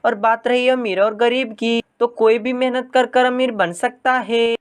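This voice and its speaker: Narrator as friendly high-pitched boy bird. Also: steady tone, unhurried, clear, resonant tone, natural voice. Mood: reassuring. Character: friendly, generous rich young boy bird.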